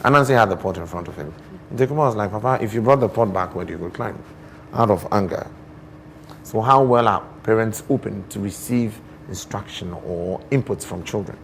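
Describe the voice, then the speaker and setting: A man with a Ghanaian accent speaks in a lowish voice as an interview guest. He explains in an expressive, deliberate, teacherly way, leaning on rhetorical questions. There is noticeable ambient noise behind him. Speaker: guest poet and storyteller.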